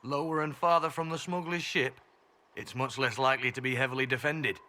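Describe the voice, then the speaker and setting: An actor playing a composed, earnest secret agent in a fantasy video game, measured and matter-of-fact. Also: male voice, mid-range voice, steady tone, sharp tone, lightly acted. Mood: businesslike.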